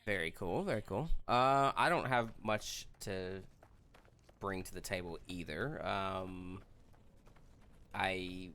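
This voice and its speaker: A man's voice: deep voice, slow, bright, forceful tone, a little hiss or hum. A man co-hosting a gaming show, chatting casually about video games.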